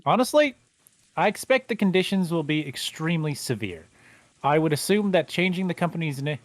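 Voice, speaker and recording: A man's voice: on the high side, no echo. A man playing a formal middle-aged lawyer who is not a native speaker, with a stiff delivery that trips over words. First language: not Japanese. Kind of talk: fan livedub of a visual novel.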